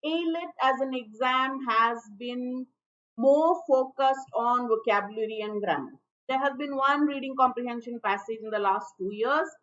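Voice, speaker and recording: A woman's voice: fairly high pitch, moderate pace, a bit bright. A woman teaching for a law entrance exam in a friendly, chatty online lesson. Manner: friendly, chatty, with restarts and fillers. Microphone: low-level stream mic. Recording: dull, muffled livestream audio.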